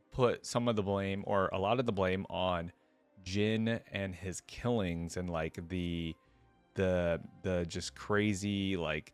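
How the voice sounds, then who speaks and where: Low-voiced man doing casual reaction commentary. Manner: informal, conversational asides between read-aloud passages. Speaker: reaction video host. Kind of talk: casual reaction commentary mixed with reading a wiki aloud.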